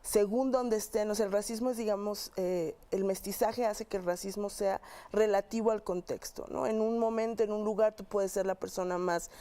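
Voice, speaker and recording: A woman's voice: mid-range voice, fairly even intonation, moderate pace, dark tone. A woman with a Mexican accent answering as a research guest in an interview, explaining thoughtfully and often checking with short tag questions.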